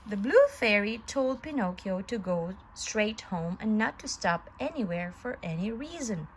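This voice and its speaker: A woman reading a children's story aloud in an expressive, deliberate storyteller's manner. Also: medium pitch, a little hiss or hum.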